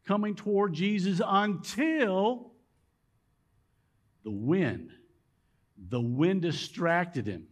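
A man, preaching a sermon in a large church sanctuary and swinging between loud emphasis and low, quiet drops. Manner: emphatic preaching, rising and dropping dramatically. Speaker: pastor. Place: large church sanctuary with rows of empty chairs.

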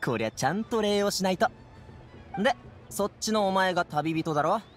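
ゲームで、男性声優が演じる明るく気さくな若い護衛。くだけた少年っぽい口調で話す。. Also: male voice, sits high, lively intonation, normal speed, faint music behind. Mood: friendly. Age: young adult. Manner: casual, breezy, boyish slang. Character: upbeat, friendly young man, transport guard. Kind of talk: Japanese anime game voice acting.

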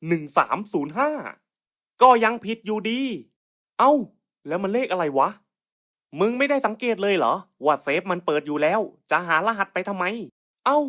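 A man's voice: sits high, lively intonation, moderate pace, fairly bright tone. Man in casual cartoon banter. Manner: colloquial, coarse buddy-style banter. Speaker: cartoon creator voicing puzzle skits. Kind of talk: scripted cartoon dialogue in casual slangy speech.

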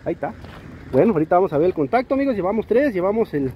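Upbeat high-voiced Mexican car vlogger. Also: male voice, very fast. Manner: lively, salesy, slangy diminutives. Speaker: used-car market vlogger. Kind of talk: walk-around car showcase. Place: outdoor car market. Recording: outdoor street noise.

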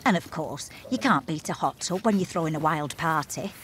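A woman's voice: low voice, moderate pace, a bit bright, slight background noise. A woman giving playful, punning scripted narration for a documentary, recorded as a studio voice-over. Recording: music bed under the voice.

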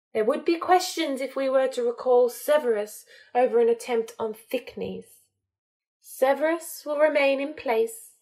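A woman voicing a cold, menacing male dark lord who speaks slowly and with threat, in an audiobook reading. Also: a little high, close to an everyday voice.

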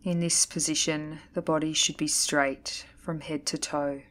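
Woman doing guided relaxation, low calm voice, very flat and steady, lots of pauses. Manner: calm, soothing, slow, deliberate pauses. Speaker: yoga nidra instructor. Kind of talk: guided meditation, scripted narration.

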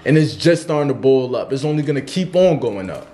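A man's voice: medium pitch, expressive, fairly quick, mellow, slight background noise. A man interviewing a guest, asking questions in an easygoing, slang-filled way.

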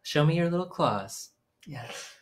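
Gentle man leading a kids' sing-along. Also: a little high, lively intonation, a little slow. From Maine, US. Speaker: children's music teacher. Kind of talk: singing children's songs with sign language. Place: small room at home, indoors. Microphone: webcam at desk distance.

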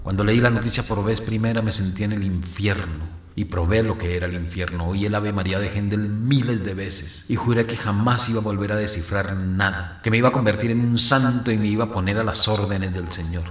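A man's voice: lowish voice, fairly even intonation, fairly quick, few pauses, fairly bright tone, reverberant, lightly acted. An actor playing a guilt-ridden priest narrating his past in an audio drama. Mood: haunted, brooding. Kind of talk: scripted first-person dramatic narration.

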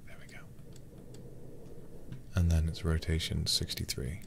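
A man livestreaming a horror game in ASMR style, muttering to himself in a hushed, breathy voice with casual swearing. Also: a bass voice, flat delivery, unhurried, background noise. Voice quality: breathy, often whispered.

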